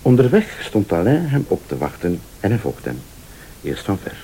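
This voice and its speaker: A vengeful flemish rival in a radio drama, low voice that swings a lot, polite but needling. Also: male voice, wildly swooping pitch, warm tone, a little hiss or hum. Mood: smug, relentless. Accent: Flemish Belgian. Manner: deliberate, insinuating, formally polite. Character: vengeful older rival, a calm, probing, sardonic accuser. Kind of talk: radio thriller acting.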